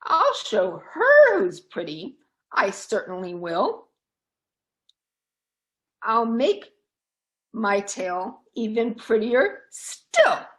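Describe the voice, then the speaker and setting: Woman acting a vain, high-pitched little girl bird. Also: huge pitch swings, very slow, long pauses, fairly bright tone, full character voice. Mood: boastful. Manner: theatrical, pleading and boastful. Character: vain, whiny, jealous young female bird. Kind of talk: children's storybook character voice.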